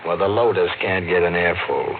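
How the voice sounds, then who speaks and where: A man's voice: low-pitched, normal speed, gravelly, background noise. An actor playing a blunt, knowing local working man in a 1950s radio drama, with an ominous manner. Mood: ominous, accusing. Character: blunt, knowing country depot man and diner owner. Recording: tinny old radio broadcast, music behind.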